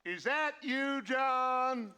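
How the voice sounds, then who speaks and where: An actor playing a theatrical, menacing outlaw gang leader in a video game, speaking with grandiose, taunting pauses. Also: male voice, very high voice, sharp tone, smooth, heavily acted. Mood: taunting menace. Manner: grandiose, taunting, halting oratory. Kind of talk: video game drama acting.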